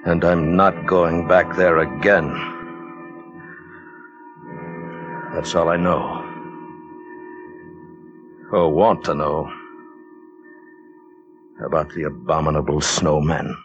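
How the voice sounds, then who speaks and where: A haunted mountaineer recounts his terror in an old radio drama. His voice is very low and very slow, halting and full of suspense, with prominent music behind it. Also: fairly even intonation, dull audio, exaggerated voice. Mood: numb, haunted. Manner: halting, suspenseful, repeating words. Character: haunted male mountaineer recounting a terrifying monster encounter. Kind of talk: 1950s radio drama acting, first-person narration.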